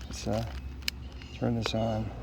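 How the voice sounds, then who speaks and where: Male unboxer, casual and halting, creaky mid-pitched voice, clear noise behind. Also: completely flat delivery. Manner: unscripted, halting, thinking aloud. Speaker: product unboxer.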